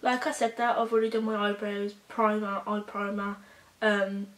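A young woman with a hoarse voice from a cold, chatting casually through a makeup tutorial with halting, filler-heavy talk. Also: medium pitch, level tone, reverberant. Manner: rambling, halting, with many fillers. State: hoarse, recovering from a cold, coughing. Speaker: beauty vlogger. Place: small bedroom indoors.

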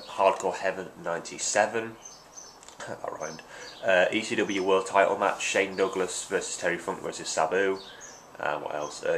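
A young man in his twenties with a congested voice from hay fever, chatting casually and rambling with many ums as he unboxes items at home. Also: low voice. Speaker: vlogger. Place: small room at home, indoors. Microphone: webcam at desk. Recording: noisy home webcam audio.

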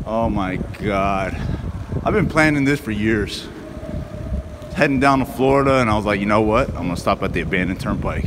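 A man chatting casually and excitedly to viewers as he films himself riding a bicycle. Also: on the low side. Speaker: vlogger narrating his bike ride. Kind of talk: casual first-person vlog chat. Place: riding outdoors and through a long road tunnel. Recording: loud wind and ride noise on mic.

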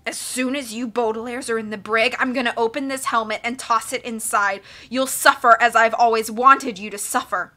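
A woman voicing a theatrical, greedy male villain with a raspy, boastful delivery for a storybook. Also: fairly high pitch, fairly even intonation, normal speed, few pauses, bright, forceful tone. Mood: sinister gloating.

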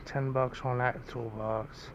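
A man giving casual walk-through commentary as a vlogger, rambling and musing aloud in a low-key way. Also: little pitch movement, slow pace, muffled recording. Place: large indoor antique mall, walking around. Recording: background noise, store ambience.

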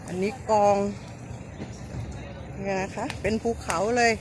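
A woman's voice: medium pitch, slow. A middle-aged woman vlogging while walking around a temple outdoors, chatting politely and pointing things out to the camera on her phone. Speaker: vlogger showing a temple visit. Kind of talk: casual vlog narration. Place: outdoors at a busy temple by parked vehicles. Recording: clear outdoor ambience and vehicle noise.

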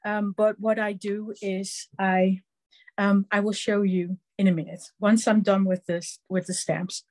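Slow, hesitant Dutch-accented woman teaching crafts. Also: mid-range voice, flat delivery, darkish tone. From Netherlands. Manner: slow, halting, many ums, hands-on explaining. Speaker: craft instructor. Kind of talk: live online craft class demonstration.

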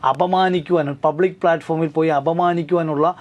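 A man giving legal commentary as a studio guest, talking fast and answering his own rhetorical questions. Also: on the high side, steady tone, darkish tone, a little hiss or hum. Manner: rapid, repetitive, keeps asking himself rhetorical questions. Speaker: lawyer guest.

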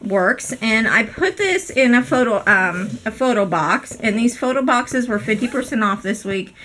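A chatty woman hosts a casual crafting video from her craft room, her voice hoarse from a cold. Her tone is bright and forward, and she keeps apologizing and filling pauses with ums. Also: fairly high pitch, lively intonation, slight background noise, poor audio. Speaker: craft channel host. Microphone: overhead camera, speaker off screen.

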